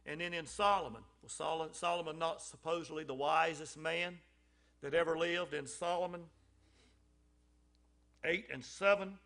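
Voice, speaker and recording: Guy giving a folksy church talk, kinda high and bright, lots of ums. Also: steady tone, moderate pace, gravelly, long pauses. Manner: folksy and conversational, with frequent ums and restarts. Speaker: lay speaker at a church service. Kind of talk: informal church message.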